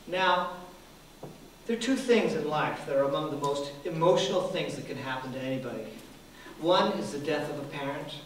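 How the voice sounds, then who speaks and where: Middle-aged man from Japan, author reading his memoir aloud, dry and wry, slightly high voice, recorded from a distance in a very echoey hall. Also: a little hiss or hum. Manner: dry, self-deprecating reading with soft laughs. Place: large public hall. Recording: very echoey hall from far off, faint ambience.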